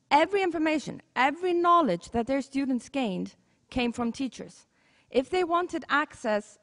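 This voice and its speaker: Woman, Icelandic accent, teacher giving a talk, fairly high voice, long hall reverb. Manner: earnest, conversational, with self-corrections. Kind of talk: conference talk.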